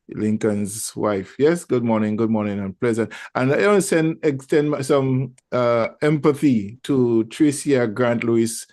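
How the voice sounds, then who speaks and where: A man's voice: medium pitch, darkish tone, dry recording, clean studio sound. A man hosting a casual radio talk show, rambling with many fillers and restarts. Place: lives in Toronto.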